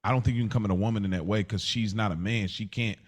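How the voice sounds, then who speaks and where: A man's voice: fairly low pitch, steady tone, fast talker. A man with an American accent debating casually as a podcast host, slangy and repeating phrases to push his point.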